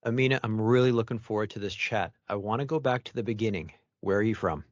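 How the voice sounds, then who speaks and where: A man's voice: lowish voice, fast talker, no room sound, lo-fi sound. A man hosting an interview podcast, delivering introductions and questions to a guest.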